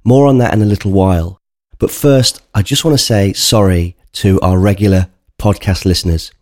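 A man hosting a podcast, his voice low and mellow and thickened by a bunged-up cold. He talks to his listeners in an earnest, chatty way, and the recording is close and dry with no room sound. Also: fairly even intonation, normal speed, no room sound. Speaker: podcast host. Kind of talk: casual spoken podcast introduction.

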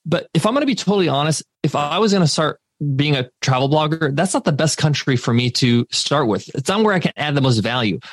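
A man's voice: on the high side, quick pace, few pauses, no echo, studio quality. A man in his thirties, a former teacher turned entrepreneur, talking energetically as a podcast guest and dropping "like" and "right?" often. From United States. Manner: energetic, emphatic, frequent "like" and "right?". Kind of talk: casual interview talk.

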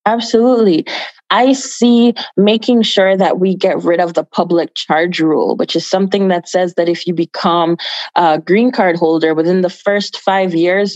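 A woman's voice: on the low side, darkish tone, dry recording, dull audio. A woman from Jamaica giving earnest, emphatic answers as an activist guest in an interview, repeating phrases for stress.

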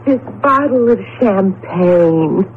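A tinny old radio recording with faint noise carries a professor's wife at an ordinary female pitch. She is affectionate, brisk and expressive, in the theatrical manner of 1970s radio drama. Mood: teasing. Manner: playful scolding, expressive, affectionate.

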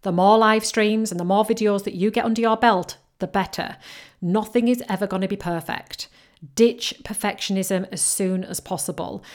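A woman's voice: medium pitch, fairly even intonation, normal speed. A woman with a Northern English accent mixed between Yorkshire and Geordie, hosting a solo podcast as a business coach, upbeat and encouraging, often repeating key phrases. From North East England coast.

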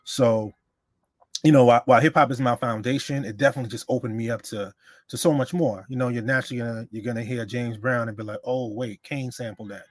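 A man born in Honduras and raised in the Bronx, a hip-hop journalist reminiscing in relaxed casual conversation. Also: mid-range voice, fast, no echo, studio quality. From born in Honduras, raised in the Bronx, New York. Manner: relaxed, reminiscing, with many fillers.

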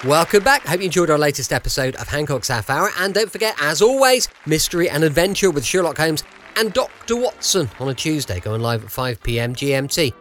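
Lively, higher-voiced podcast host, male. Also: fairly quick, some chatter behind, slight background noise. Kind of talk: scripted show sign-off.

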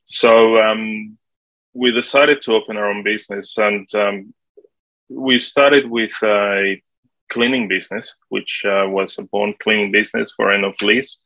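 A man in his forties from Colombia, speaking English with a Colombian Spanish accent, answering interview questions in a halting way with many fillers. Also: fairly low pitch, steady tone, pushed and bright, dry recording, low-quality recording. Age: 40s. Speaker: guest, property manager.

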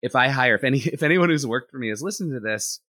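A man, an animator and director, talking in a relaxed interview and thinking out loud with lots of "like" fillers. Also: medium pitch, fast, dry recording, studio quality. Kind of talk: casual interview conversation.

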